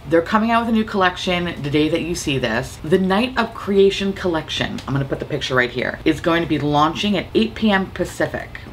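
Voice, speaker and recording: A young woman with a slightly raspy voice from a cold, chatting playfully and profanely while trying on makeup at home. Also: sits low, a little hiss or hum. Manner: playful, profane, self-mocking. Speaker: beauty vlogger. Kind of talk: casual makeup vlog chat.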